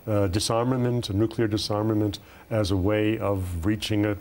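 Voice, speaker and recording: Older man, lowish voice, deliberate analyst. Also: level tone, darkish tone. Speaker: panel guest, political analyst. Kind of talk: measured political commentary. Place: television studio round table.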